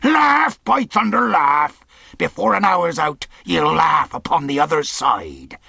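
A man voicing a menacing, wrathful pirate leader in an audiobook, rough and growling, speaking in rustic sailor dialect. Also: on the high side, big pitch swings, slow, sharp tone, no room sound, clean studio sound, pitched above the performer's own voice, exaggerated voice. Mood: furious, threatening. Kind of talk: audiobook character acting.

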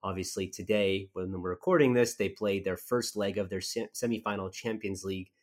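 A man's voice: deep voice, steady tone, brisk. A man hosting a podcast, introducing a guest in a chatty, easygoing way. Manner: chatty, easygoing, with fillers and restarts. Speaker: podcast host.

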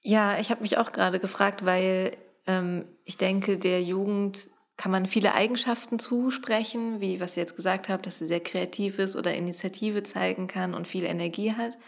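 Young woman host, gravelly tone, relaxed moderating with fillers. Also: medium pitch, moderate pace, hardly any pauses. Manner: hesitant, many fillers. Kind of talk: casual round-table moderation.

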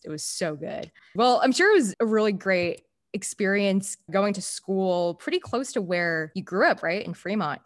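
A woman's voice: on the low side, dry recording, studio quality. A woman interviewing a guest in a casual, chatty way, with informal affirming remarks. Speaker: interviewer, host. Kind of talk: conversational interview, casual chat.

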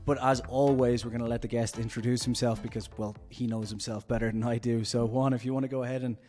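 Brief: male host, mid-pitched, chatty and upbeat. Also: level tone, fast, dark tone, soft background music. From Ireland. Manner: chatty, upbeat, rambling questions. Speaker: podcast host. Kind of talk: casual interview.

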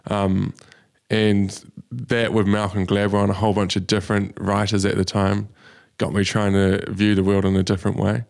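A young man, a business founder telling his story in a relaxed way, with many ums. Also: on the low side, moderate pace, gravelly, few pauses. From New Zealand. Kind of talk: casual interview. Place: studio.

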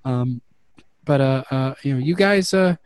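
A man's voice: medium pitch, unhurried, a little mellow, smooth, no echo. A man narrating a comedic tabletop game as game master, rambling with lots of fillers. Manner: rambling, many fillers, laughs often.

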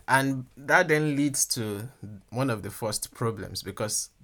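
A man whose first language is Yoruba, speaking English with a Yoruba-influenced accent and explaining things in a measured, conversational teaching way, with frequent restarts and "um"s. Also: medium pitch, bright, forceful tone.

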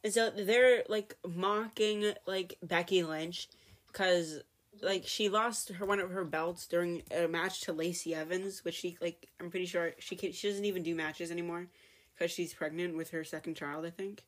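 A woman's voice: on the low side, fairly even intonation, normal speed. A woman in a casual chat who rambles, filling almost every phrase with "like". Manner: rambling, heavy use of "like".

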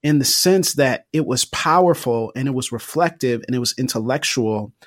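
A man's voice: mid-range voice, normal speed, no echo, studio quality. A man hosting a podcast interview, engaged and affirming as he poses his questions. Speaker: podcast host. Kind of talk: conversational interview.

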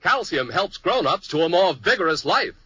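A man's voice: sits high, sing-song, moderate pace, sharp tone, slightly rough, no echo. A man reading an emphatic, punchy commercial in 1950s radio style. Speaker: commercial announcer.

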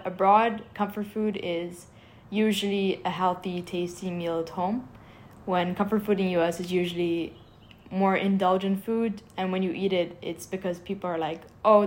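A young woman from Egypt, a startup founder, answering interview questions in an earnest, eager way with lots of "like" fillers. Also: mid-range voice. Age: young adult.

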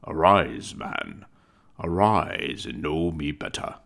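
A man voicing a booming, commanding Christmas spirit. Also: big pitch swings, takes it slowly, creaky, fairly bright tone, exaggerated voice.

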